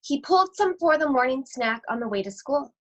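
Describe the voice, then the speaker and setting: A woman reading a children's storybook aloud expressively, with deliberate, suspenseful phrasing and drawn-out words. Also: sits high, animated, slight background noise. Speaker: school library read-aloud host.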